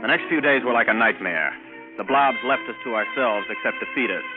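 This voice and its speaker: A man's voice: mid-range voice, lively intonation, fairly quick, lightly acted. A man with an American accent playing a confident, easygoing young rocket captain in a 1950s radio drama. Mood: narrating, steady. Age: young adult. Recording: vintage radio, narrow band, music behind.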